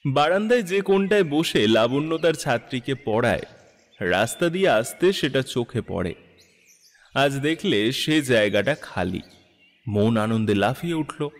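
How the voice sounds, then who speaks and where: A man narrating a literary story in an expressive, measured, dramatic style. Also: mid-range voice, big-room sound.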